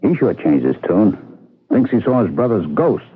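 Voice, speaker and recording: Animated, exasperated mailman on tinny old radio. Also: fairly low pitch, moderate pace, slightly rough. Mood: wry storytelling. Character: earnest, exasperated small-town male mail carrier and husband. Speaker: radio drama actor. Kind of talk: vintage radio mystery acting.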